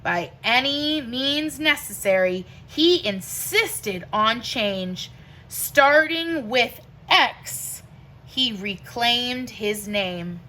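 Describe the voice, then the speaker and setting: A woman reading a rhyming children's picture book aloud like a teacher, with expressive, deliberate and emphatic phrasing. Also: fairly high pitch, rough recording.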